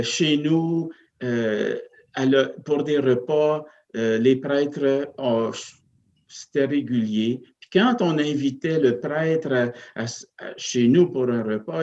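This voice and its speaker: A male parish priest, recounting his life in a hesitant, reflective way, with many pauses and fillers. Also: mid-range voice, a little mellow, highs cut off. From Northern Ontario, Canada. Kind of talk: interview, personal storytelling.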